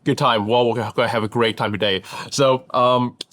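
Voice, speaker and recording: A man's voice: mid-range voice, moderate pace, dry recording. A man hosting an interview podcast in a friendly, casual way, tripping over his words when he gets going. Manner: friendly, restarts and stumbles when excited. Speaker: podcast host.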